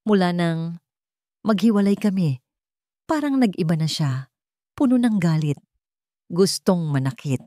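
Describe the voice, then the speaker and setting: A woman with a Filipino accent voicing a smooth male ex who feigns concern in story dialogue, earnest and measured. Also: on the low side, lively intonation, warm tone, dry recording, clean studio sound. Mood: earnest, insinuating.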